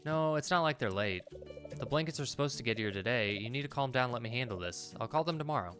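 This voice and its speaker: A man voicing a calm, reluctant woman innkeeper from a video game, reasoning and placating. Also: medium pitch, fast talker, faint music behind, lightly acted. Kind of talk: read-aloud video game dialogue.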